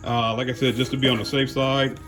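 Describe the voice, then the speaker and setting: A man's voice: medium pitch, little pitch movement, brisk, over music. An American man giving casual unboxing commentary as a car audio vlogger, talking in a folksy, slangy way.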